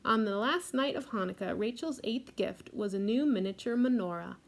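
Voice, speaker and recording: A woman reading a picture book aloud for a library storytime, adding gentle asides about the pictures. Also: mid-range voice, expressive, moderate pace, vocal fry, a little hiss or hum.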